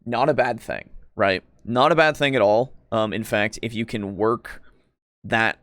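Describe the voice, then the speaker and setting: A man with a clear, smooth voice recorded close and dry hosts a casual discussion. He speaks in a halting, wordy way, often pausing and circling back to qualify what he just said. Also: on the low side, fairly bright tone, no echo. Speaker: podcast host. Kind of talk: casual discussion, commenting on a video for a live stream.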